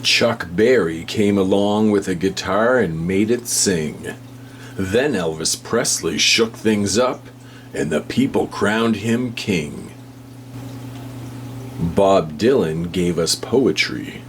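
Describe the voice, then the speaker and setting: Low-voiced man slowly reading a children's book. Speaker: storybook reader. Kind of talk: children's picture book read-aloud. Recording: hum and faint background noise.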